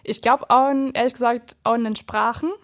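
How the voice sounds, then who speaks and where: A woman's voice: fairly high pitch, steady tone, fairly quick. A young woman chatting casually, thinking aloud with many fillers. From Austria, border region with Czechia and Germany. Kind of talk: casual chat.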